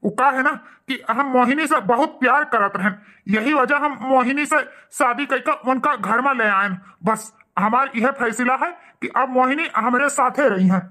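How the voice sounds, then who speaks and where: A man's voice: very high voice, moderate pace, hardly any pauses, pushed and bright. A man voicing a callous, self-justifying young husband in a cartoon family drama, defiant, with an Awadhi accent. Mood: defiant, cold. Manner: defiant, blunt.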